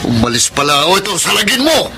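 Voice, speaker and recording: An actor with a Filipino accent playing a brazen, scheming, taunting ex-lover in a radio drama. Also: male voice, sits high, very animated, fast talker, background noise. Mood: menacing. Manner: smug, taunting.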